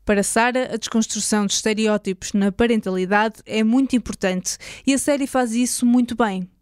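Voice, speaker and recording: Woman, European Portuguese accent, slightly high voice, scripted podcast narration, dry close sound. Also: brisk, a little mellow, clear, resonant tone, no room sound.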